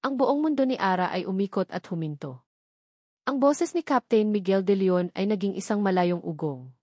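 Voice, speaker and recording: A woman narrating a scripted romance drama in an expressive, melodramatic storytelling manner. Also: mid-range voice, normal speed, dry recording, clean studio sound.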